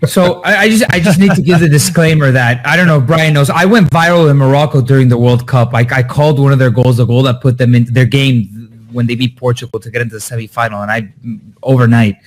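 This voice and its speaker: A man talking as a soccer analyst in a casual podcast chat, animated and lively. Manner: animated, quick pitch jumps when excited.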